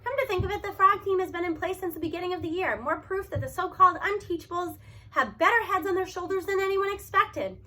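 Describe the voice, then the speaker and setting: A woman narrates a story aloud in the first person. Her voice sits high and has a bright, forward edge. She reads expressively, stressing key words in an animated storytelling manner. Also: quick pace.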